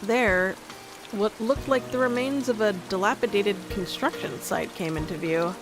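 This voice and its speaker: A woman streamer narrating a visual novel live, with expressive storytelling. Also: medium pitch, moderate pace. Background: soft game music.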